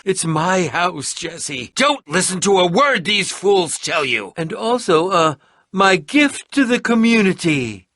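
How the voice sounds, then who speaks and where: A haughty, eccentric alchemist from a video game. His voice sits high and swoops widely, with a bright, forceful edge. He is pompous, theatrical and quick to take offence. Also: dry recording, clean studio sound, exaggerated voice. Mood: indignant, smug. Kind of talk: animated video game voice acting.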